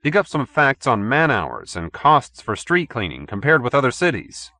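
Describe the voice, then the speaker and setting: A man's voice: medium pitch, very animated, moderate pace, bright, forceful tone, no room sound, pitched above the performer's own voice. A man voicing an exasperated managing editor, brusque, bossy and skeptical, in an audiobook story.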